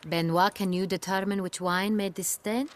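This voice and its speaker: A woman playing an imperious, poised duchess in a video game, with a formal, haughty and measured delivery. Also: medium pitch, fairly even intonation, close to an everyday voice. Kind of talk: video game cutscene acting. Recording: faint music behind.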